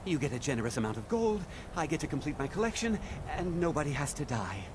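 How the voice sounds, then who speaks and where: A man's voice: a little high, big pitch swings, fast talker. A man voicing a cowardly museum collector in a fantasy video game, pleading and bargaining anxiously. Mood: frightened, pleading. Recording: loud background noise.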